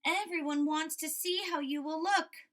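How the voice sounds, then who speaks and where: A woman's voice: high voice, fairly even intonation, measured pace, a bit bright, far from the mic, voice pushed up. A woman voicing a loyal, earnest, cheerful frog in a storybook read-aloud, with an eager and pleading manner. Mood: apologetic, pleading.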